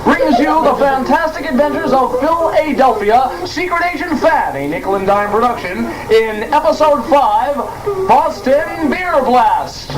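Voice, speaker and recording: A man's voice: very high-pitched, expressive, measured pace, over a noisy crowd. A man playing a breathless, mock-grand radio serial announcer, hammy and emphatic, in a parody of old-time radio. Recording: noisy old cassette tape recording.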